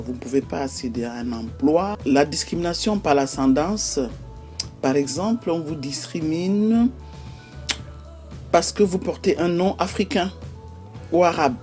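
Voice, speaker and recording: Woman, Cameroonian accent, vlog host, slow deliberate pace, hesitant fillers, faint background music. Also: extremely low pitch, dark tone. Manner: measured, lists examples one by one with frequent hesitations. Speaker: YouTube host. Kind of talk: explanatory vlog talk.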